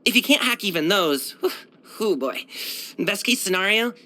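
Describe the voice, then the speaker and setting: A man's voice: sits high, animated, normal speed, pushed and bright. A man voicing a brash, cheerful young martial arts dancer and guard in a video game, chatting casually in a slangy, boyish, self-assured way. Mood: cocky, playful. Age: young adult. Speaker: video game character voice actor. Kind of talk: casual, conversational game voice lines.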